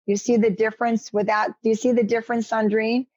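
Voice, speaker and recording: A woman's voice: a little high, little pitch movement, fairly quick, darkish tone, no room sound, highs cut off. New York woman coaching on a call. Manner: coaching, direct, addresses attendees by name. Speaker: media trainer guest. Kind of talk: advice on a video call talk show. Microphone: headset on a video call.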